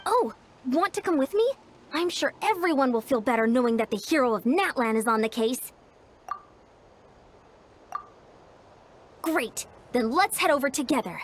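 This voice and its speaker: A woman's voice: high voice, expressive, fairly quick, slight background noise. A woman voicing an earnest, friendly young female warrior in a video game.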